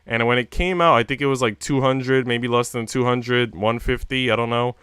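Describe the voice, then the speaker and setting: A man's voice: medium pitch, steady tone, moderate pace, a bit bright, smooth, dry recording, studio quality. A man livestreaming, chatting casually with viewers in a rambling, slangy way with casual swearing. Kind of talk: casual chat with stream viewers.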